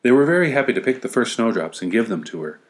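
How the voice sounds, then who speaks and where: A man's voice: mid-range voice, big pitch swings, quick pace. A man reading a story as narration, with wry, playful asides. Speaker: story reader.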